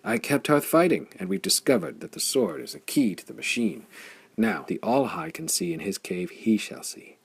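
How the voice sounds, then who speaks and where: A man's voice: on the low side, big pitch swings, normal speed, gravelly, slightly rough, full character voice. Flame, a man voicing a stubborn sword hero. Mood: determined. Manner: firm, determined speech.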